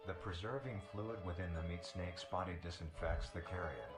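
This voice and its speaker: Deep male documentary narrator, formal and detached, roomy echo, music behind. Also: moderate pace. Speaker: narrator of a mock nature documentary video.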